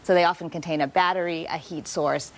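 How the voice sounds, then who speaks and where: A woman doctor, a guest expert on a TV segment, explaining things in a calm, clear, explanatory manner. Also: lowish voice, a little fast. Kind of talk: expert interview.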